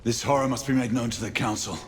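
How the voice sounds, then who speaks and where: An actor playing a stern, troubled Victorian knight in a video game, terse and formal with intensity. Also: male voice, mid-range voice, fairly even intonation, fast talker, natural voice. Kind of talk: cinematic video game acting. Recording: noisy game ambience behind voice.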